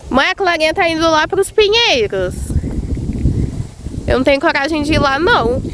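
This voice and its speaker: A Brazilian woman chats her way through a casual outdoor vlog, her voice sitting a bit high and a little congested from a cold. She exclaims over small things as she walks. Clear wind noise rushes over the phone recording. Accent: Brazilian Portuguese. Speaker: vlogger. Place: outdoors on rural land, windy. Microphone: handheld phone.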